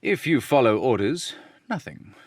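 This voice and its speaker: Man playing a calm, menacing criminal mastermind. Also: medium pitch, slightly rough, no room sound, clean studio sound, heavily acted. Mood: calm menace. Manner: measured, quietly commanding. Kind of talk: old radio serial acting.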